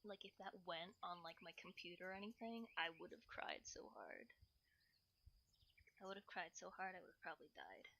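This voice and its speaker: A woman's voice: lowish voice, expressive, moderate pace, gravelly, bright, forceful tone, a little hiss or hum. A woman livestreamer chatting casually, playfully rambling and thinking aloud.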